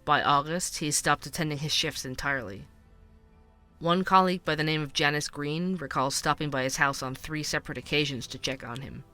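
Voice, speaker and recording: An actor plays a probing true-crime host. His voice is bright and forceful, delivering scripted documentary narration with measured gravity over soft music. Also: fairly high pitch, fairly even intonation. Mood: grave, informative. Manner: measured, grave. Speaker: host and interviewer.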